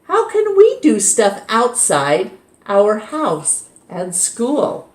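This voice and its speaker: A woman's voice: on the high side, huge pitch swings, drawn-out words, voice pushed up, heavily acted. A woman doing a small wistful boy. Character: mischievous little boy wanting to be good. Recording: roomy echo.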